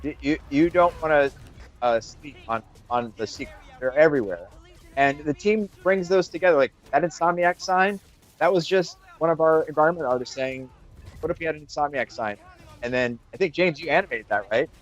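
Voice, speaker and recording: A man's voice: fairly high pitch, fairly quick. Man in a dull lo-fi interview feed. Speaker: game developer guest. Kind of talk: casual interview commentary. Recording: dull, lo-fi audio.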